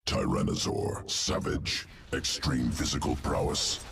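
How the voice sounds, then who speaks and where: A man voicing a clinical, cold insectoid alien in a video game, with a deep gravelly creature voice and clipped, telegraphic speech. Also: animated, a little slow, a bit bright, full character voice. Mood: clinical, detached. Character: male alien insectoid evolution-master, clinical, terse, cold perfectionist. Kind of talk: sci-fi video game character acting. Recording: game music behind.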